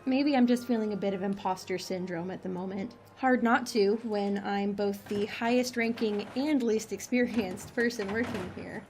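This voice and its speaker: A woman's voice: mid-range voice, steady tone, moderate pace, hardly any pauses, a little mellow, a little hiss or hum, natural voice. An actress playing a dry, exasperated woman director in a comic audio drama, with a wry, officious manner. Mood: uneasy, reflective.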